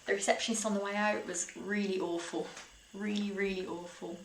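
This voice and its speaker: A woman's voice: medium pitch, flat delivery, a little fast. A young British woman telling personal stories in a casual, chatty, informal way, as a vlogger would. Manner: chatty, informal, with small laughs through sentences. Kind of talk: casual personal storytelling to camera. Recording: roomy echo, faint background noise.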